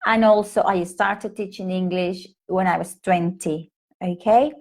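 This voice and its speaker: Slow-talking Peruvian woman teaching English. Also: lowish voice. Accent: Peruvian Spanish. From Peru. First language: Spanish. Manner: chatty, animated asides with fillers and restarts. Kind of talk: casual live-stream talk to teachers. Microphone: laptop or phone mic on a live stream.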